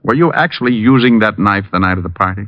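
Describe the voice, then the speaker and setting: An actor as a low-voiced vintage radio private eye. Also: male voice, animated, quick pace, lightly acted. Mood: probing. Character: wry, probing male private detective. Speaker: lead actor and narrator. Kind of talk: 1940s radio drama acting with narration. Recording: dull old radio recording with music.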